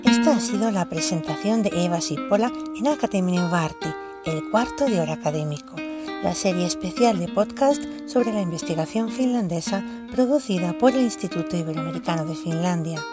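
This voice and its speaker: Low-voiced woman announcer over guitar. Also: lively intonation, a little fast. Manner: formal, announcing. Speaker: podcast presenter. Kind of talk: scripted outro. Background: loud guitar music.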